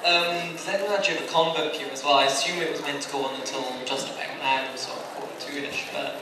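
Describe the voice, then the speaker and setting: A man's voice: a little high, steady tone, fast. A man talking casually at a live convention panel as one of those running it. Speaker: panel participant keeping time. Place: large room at a fan convention. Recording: echoey hall, distant mic, noisy.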